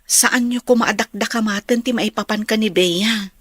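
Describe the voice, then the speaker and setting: A woman's voice: medium pitch, fairly even intonation, normal speed, a bit bright, no room sound, studio quality, close to an everyday voice. A woman acting a worried friend in an audio drama, with a Filipino Ilocano accent. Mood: worried, hushed.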